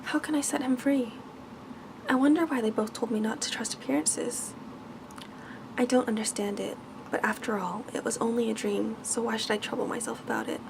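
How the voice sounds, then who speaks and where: A woman voicing a sweet, trusting young maiden in a soft, musing way for a bedtime fairy tale. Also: on the high side, fairly even intonation, moderate pace, creaky, noisy background. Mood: wistful, puzzled.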